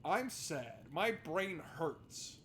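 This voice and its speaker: A man's voice: fairly high pitch, big pitch swings, very slow, a bit bright. A man doing let's play commentary, reading narration aloud and adding sarcastic, exasperated asides. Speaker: video game streamer. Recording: noticeable background noise.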